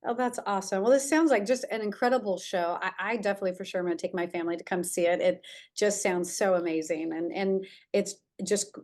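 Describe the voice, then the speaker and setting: A woman's voice: medium pitch, fairly even intonation, fast talker, a little mellow. A woman talk show host with an effusive, encouraging manner, chatting and presenting in an upbeat way. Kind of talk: upbeat interview and presenting to camera.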